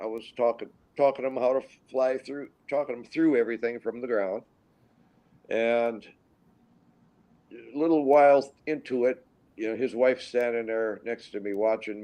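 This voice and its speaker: Low-voiced older Minnesota man, halting. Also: warm tone. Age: 60s. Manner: rambling, halting, with frequent restarts. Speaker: guest pilot. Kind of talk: casual storytelling interview.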